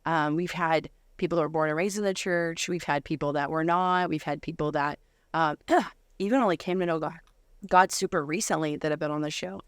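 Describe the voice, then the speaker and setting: A woman's voice: low-pitched, fairly even intonation, a little fast, clear, resonant tone, dry recording, studio quality. A woman in a casual conversational interview, speaking in an informal, effusive way.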